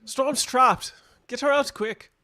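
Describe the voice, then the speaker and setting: A man's voice: extremely high pitch, slow pace, pushed and bright, dry recording, clean studio sound, exaggerated voice. A man playing an earnest, hot-tempered young team leader in radio theatre, urgent and indignant in manner. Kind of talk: live-read radio theatre acting.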